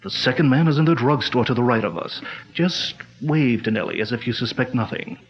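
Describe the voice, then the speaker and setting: An actor playing a calm, commanding male crime-fighter chief in a 1940s-style radio drama, speaking in a measured, steady way. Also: mid-range voice, close to an everyday voice. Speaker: lead actor. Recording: dull lo-fi old radio recording.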